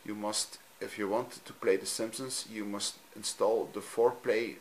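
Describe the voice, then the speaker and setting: A fairly low-voiced man from Belgium speaks English with a Dutch accent, pausing often with ums. He talks off camera while filming his TV screen with a phone. Faint room ambience sits behind him. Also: fairly even intonation, a little hiss or hum, rough recording. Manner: halting, frequent ums, simple non-native phrasing. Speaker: hobbyist youtuber.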